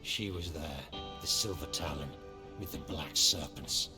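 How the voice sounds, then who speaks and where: An actor playing a gruff, impatient bounty hunter in a video game, talking in a terse, blunt way. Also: male voice, a bass voice, unhurried, over music. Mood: grim, terse. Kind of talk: video game cutscene acting.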